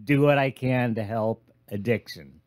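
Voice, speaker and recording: A man's voice: medium pitch, a little slow, a little mellow, no echo. A man co-hosting a casual radio chat with a dry, joking delivery.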